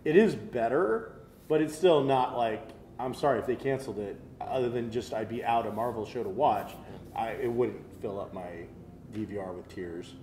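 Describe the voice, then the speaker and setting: A man's voice: mid-range voice, normal speed, darkish tone. An American man in his forties talks casually and gives his opinions with a jokey edge. The room is echoey, with a faint layer of noise behind him. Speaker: co-host of a geek culture show. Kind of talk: casual opinionated panel chat. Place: indoor room set, seated around a table. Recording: roomy sound, faint noise.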